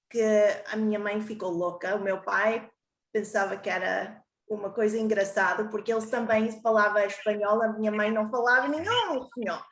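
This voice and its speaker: Slow-spoken woman in a roomy space. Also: fairly high pitch. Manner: slow and searching, speaking a second language with effort. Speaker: panel guest. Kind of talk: online panel interview.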